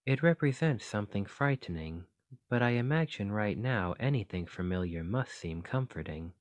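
A man playing a gentle, curious fantasy archaeologist in an ASMR roleplay, speaking in a formal, musing, articulate way. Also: fairly low pitch, animated, moderate pace, no echo, close to an everyday voice. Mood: kindly, earnest.

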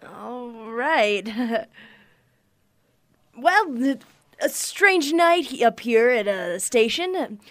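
Woman playing uneasy radio hostess, higher-pitched. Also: animated, extremely slow, long pauses, a bit bright, dry recording, clean studio sound, full character voice. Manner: hesitant, nervously chatty. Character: uneasy, polite female late-night radio host. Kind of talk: radio drama acting.

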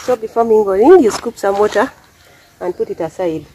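A woman's voice: mid-range voice, expressive, normal speed, mellow. A young Ugandan woman with a Ugandan accent explains each step plainly as she cooks over an outdoor wood fire, recorded by a camera on the ground. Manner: explains each step plainly, talking to viewers while working. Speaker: village cooking vlogger. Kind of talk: casual cooking demonstration. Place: outdoors by a wood fire among banana plants. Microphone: camera on the ground, distance changes as she moves. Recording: noisy outdoor camera audio.

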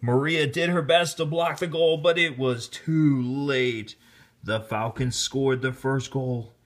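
A man reading a children's story aloud in an expressive, deliberate storytelling manner, with casual asides. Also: medium pitch. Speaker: storybook reader, teacher. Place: indoors, filming the book held in hand.

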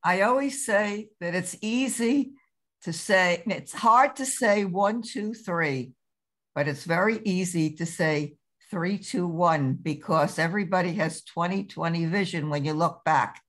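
An older woman chatting on a video call, rambling from one thought to the next. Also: lowish voice. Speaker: discussion participant. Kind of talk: casual chat on a video call.

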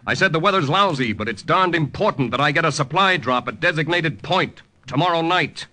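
An actor playing a composed, proper-spoken American army lieutenant held captive, in old-time radio drama style with narration. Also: male voice, fairly high pitch, expressive, a little fast, bright, forceful tone. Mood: irritated. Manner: precise, proper speech. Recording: old radio recording with music.

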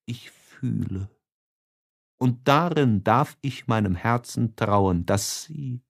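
Audiobook role: tormented young male lover, played by a male reader, slow, impassioned, sighing, sensitive. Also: medium pitch, vocal fry, full character voice. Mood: hushed rapture. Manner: impassioned, sighing, swinging between hush and exaltation. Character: lovestruck, tormented, sensitive young man writing letters.

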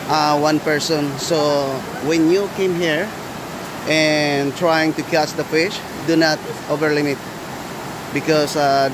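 An excitable fishing vlogger with a Filipino accent, talking casually and repeating exclamations outdoors by a river. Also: mid-range voice. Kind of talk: casual outdoor vlog narration. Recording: loud rushing river water noise. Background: roaring waterfall and stream.

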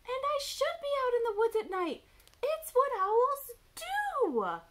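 A woman's voice: high-pitched, big pitch swings, slow, pitched above the performer's own voice, heavily acted. A woman voicing a small, defiant baby owl in a children's storybook, emphatic and childlike as he insists he is fearless. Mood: indignant. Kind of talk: children's picture book read-aloud.

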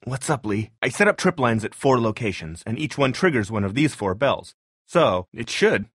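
A man's voice: medium pitch, big pitch swings, moderate pace, a bit bright, husky, no echo, clean studio sound. A man playing a nerdy, mild-mannered tinkerer in a zombie video game, speaking earnestly and a little hesitantly, pedantic when explaining. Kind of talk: naturalistic video game voice acting.

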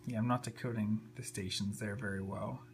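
A man's voice: fairly low pitch, steady tone, a little fast. A man giving a casual, unscripted tutorial as he works, sometimes muttering to himself. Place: indoors at a computer desk. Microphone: handheld camera, a little off the screen. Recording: faint background hum and noise.

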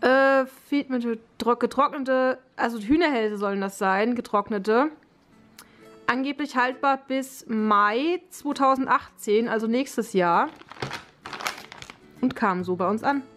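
Young adult woman, highish pitch, colloquial unboxing chat, thinking aloud, background music. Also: a little slow, a bit bright. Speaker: dog owner and vlogger presenting an unboxing. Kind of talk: casual unscripted product review. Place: living room, indoors.

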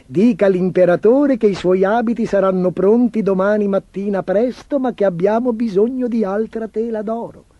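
A man's voice: sits high, moderate pace, muffled recording, voice pushed up. A man voicing a sly swindler tailor in a children's storybook, talking in an assured, ingratiating way. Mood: flattering. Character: smooth-talking, sly swindler tailor flattering his clients. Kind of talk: theatrical children's storybook acting.

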